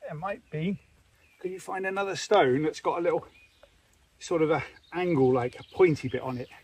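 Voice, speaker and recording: A man's voice: a little high, normal speed, a little mellow, far from the mic. A middle-aged man chatting casually and encouragingly while he works outdoors in a garden, picked up by a camera a few metres away. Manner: patient, encouraging. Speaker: father doing garden work, vlogger. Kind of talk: casual chat while working. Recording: outdoor noise, off-mic, handling clicks.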